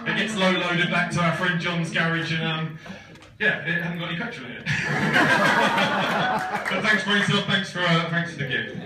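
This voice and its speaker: A man's voice: high-pitched, moderate pace, very noisy. A man in his thirties giving a teasing best man speech at a wedding, with a dry joking delivery. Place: large wooden barn wedding hall. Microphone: phone camera far back in crowd. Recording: echoey hall from far off, laughing crowd.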